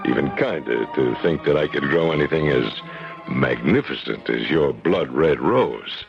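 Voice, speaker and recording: A man in a 1970s radio drama, voicing a suave and menacing gangster with a gravelly, lively delivery. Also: extremely low pitch, normal speed, full character voice. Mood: smooth. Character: suave, menacing male gangster who loves roses. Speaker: gangster writing a letter. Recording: dull old radio broadcast with music.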